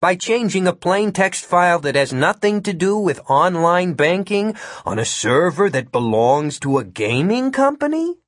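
Man playing cheeky hacker with lively pitch. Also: moderate pace, husky, no room sound, clean studio sound, exaggerated voice. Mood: calm, smug. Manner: reasoning, politely smug, persuasive. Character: calm, cheeky, smooth-talking male computer hacker. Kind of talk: audiobook character voice.

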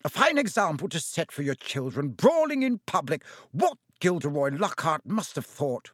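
A man voicing a furious, scolding mother with a shrill manner in a storybook. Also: big pitch swings, moderate pace, fairly bright tone, no room sound, clean studio sound. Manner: shrieking, scolding.

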